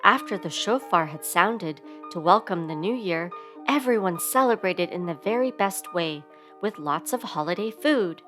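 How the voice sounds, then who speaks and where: A woman's voice: lowish voice, expressive, normal speed, a bit bright. A woman reading a children's story aloud expressively, giving sound words theatrical emphasis, with instrumental music behind her. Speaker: storybook reader.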